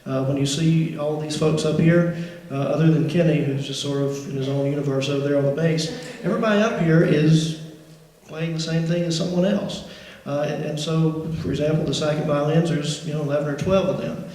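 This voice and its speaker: Man, orchestra teacher, rambling, slightly high voice, big reverberant hall. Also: fairly even intonation, fairly quick, warm tone. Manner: rambling, many "uh" fillers and restarts. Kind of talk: introducing a school concert. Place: large school auditorium. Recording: echoey auditorium, faint noise.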